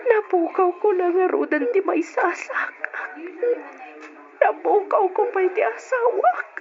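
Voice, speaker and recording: Grieving young wife, very high voice, tearful, muffled radio sound. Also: female voice, lively intonation, slow, gravelly, exaggerated voice. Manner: tearful, pleading. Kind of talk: 1980s-style radio drama acting. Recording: muffled old radio recording with music.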